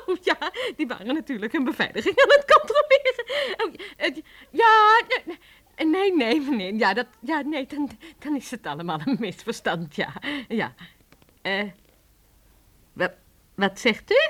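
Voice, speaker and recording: An actress playing an excitable, scatterbrained housekeeper in a comic audio drama, flustered, exclaiming and stammering. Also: female voice, high voice, measured pace, heavily acted. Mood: flustered, excited. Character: excitable, scatterbrained housekeeper, quick to laugh and defend herself.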